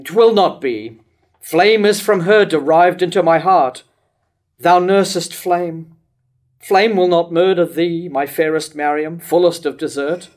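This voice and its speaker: A man's voice: a little high, a little slow, lightly acted. An older man playing a wavering, lovesick king in a declamatory staged reading of verse tragedy. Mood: anguished. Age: older, about 60s to 70s. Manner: declamatory, rhetorical questioning. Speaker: actor reading Herod in a staged play reading. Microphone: stand microphone at a lectern.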